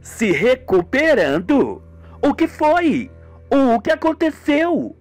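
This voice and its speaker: A man voicing a concerned young woman in a radio soap, with drawn-out, expressive exclamations. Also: very high-pitched, no room sound, faint music behind, pitched above the performer's own voice, heavily acted. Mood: surprised, concerned.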